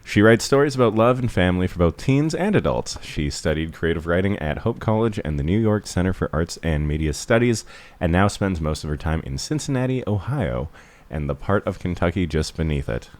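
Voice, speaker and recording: A man with a low voice talks as a podcast co-host. His delivery is casual and conversational, with playful, jokey asides as he chats about books. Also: expressive, a little fast, darkish tone. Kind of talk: casual book chat.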